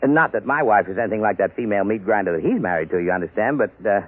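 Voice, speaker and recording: An actor playing a restless, evasive husband in an old radio drama, hesitant and testy. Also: male voice, on the low side, animated, very fast, dry recording. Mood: hesitant, eager. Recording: tinny phone-like old radio recording.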